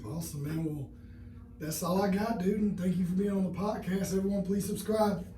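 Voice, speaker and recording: A man's voice: sits high, brisk, dark tone, slight background noise. A thirty-year-old man hosting a casual podcast chat in a laid-back, agreeable way. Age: 30s. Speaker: podcast host. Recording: echoey room, mic at a distance.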